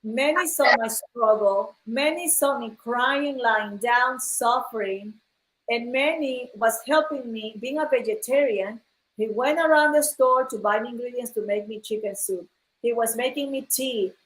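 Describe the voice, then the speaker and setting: A woman's voice: a little high, a little slow, a bit bright, reverberant, poor audio. A woman from Ecuador telling her own story to viewers in a casual live-stream chat, talking earnestly and calling her audience "guys". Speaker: host. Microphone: phone live stream.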